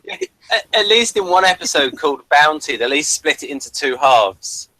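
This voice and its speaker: A man's voice: on the high side, expressive, moderate pace, bright, forceful tone, poor audio. A man talking casually as a co-reviewer in a conversation about a television episode.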